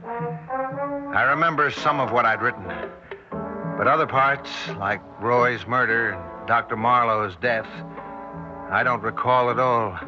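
A man's voice: mid-range voice, lively intonation, a little slow, long pauses, lightly acted. A man playing a haunted witness who recounts a terrifying encounter with tense drama in 1960s radio style. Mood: shaken, despairing. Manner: tense, dramatic first-person storytelling. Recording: muffled old radio recording with music.

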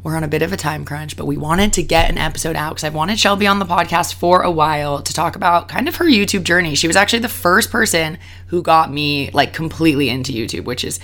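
A woman in her twenties hosts a podcast with a hoarse, worn-out voice. She keeps it bubbly and upbeat and laughs easily. Her pitch sits a little low, and she chats casually from a hotel room. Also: fast talker, few pauses, fairly bright tone. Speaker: podcast host. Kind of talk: casual interview chat.

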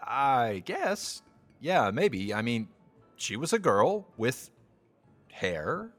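An evasive young man on a blind date in an audio comedy. He is hesitant and apologetic, stumbling over his words. His pitch moves around in a lively, animated way. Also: drawn-out words, faint music behind, exaggerated voice. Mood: awkward. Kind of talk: audio drama acting, romantic comedy dialogue.

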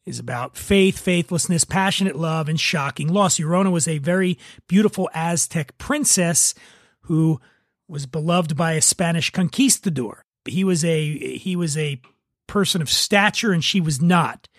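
A man's voice: a little high, moderate pace, no echo, clean studio sound. A man hosting a podcast, giving a semi-scripted review in a conversational way with wry, joking asides. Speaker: podcast host reviewing spirits.

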